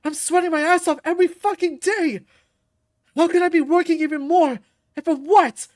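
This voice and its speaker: A woman's voice: very high voice, steady tone, moderate pace, pitched above the performer's own voice. A woman voicing a furious young fighter. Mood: raging. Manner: shouting, swearing, strained.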